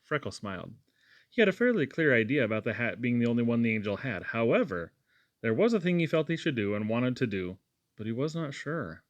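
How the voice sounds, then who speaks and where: A man narrates a storybook, reading aloud as an audiobook reader. His voice sits at a medium pitch, and the sound is dry, with little room in it. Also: big pitch swings, fairly quick, dry recording.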